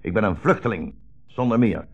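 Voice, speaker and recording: An older man acting a dignified, earnest old rabbi in a 1960s Dutch radio play, speaking in measured, formal phrases. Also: on the low side, animated. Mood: indignant, pleading. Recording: dull vintage 1960s radio recording.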